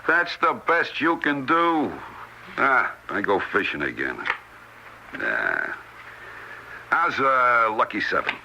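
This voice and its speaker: An actor playing a gruff, needling middle-aged card cheat with streetwise banter in a radio drama. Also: male voice, mid-range voice, very animated, takes it slowly, long pauses, bright, forceful tone, dull audio, full character voice. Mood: pressing. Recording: noisy old radio drama recording.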